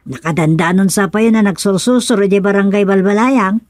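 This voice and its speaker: A woman's voice: mid-range voice, normal speed, a little mellow, no echo, clean studio sound, natural voice. A woman playing an inquisitive village woman in a comic radio serial, conversational and questioning. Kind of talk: comedic radio serial acting.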